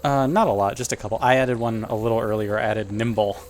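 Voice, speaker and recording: A man's voice: fairly low pitch, a little fast, a little hiss or hum. A man playing a tabletop role-playing game, talking casually as he works through his moves.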